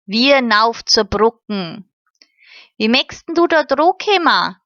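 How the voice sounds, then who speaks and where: A woman's voice: fairly high pitch, animated, takes it slowly, a bit bright, no room sound, lo-fi sound. A woman voicing a bossy, cautious boy who talks firmly.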